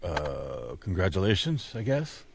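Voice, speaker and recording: A man playing an exasperated support agent in a comedy phone sketch, sarcastic and grudging, with halting delivery. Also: low voice, very animated, vocal fry, slight background noise, heavily acted. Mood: grudging, unimpressed.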